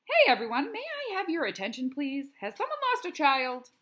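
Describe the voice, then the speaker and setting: Woman voicing a cocky teasing schoolboy, pitched up. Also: huge pitch swings, fairly quick, a bit bright, full character voice. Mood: mocking.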